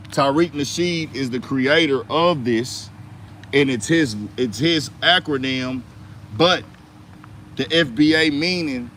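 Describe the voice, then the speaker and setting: A man's voice: medium pitch, a bit bright, slight background noise. An American man delivering an emphatic political commentary monologue in slangy speech, firing off rhetorical questions. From United States. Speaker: commentary host.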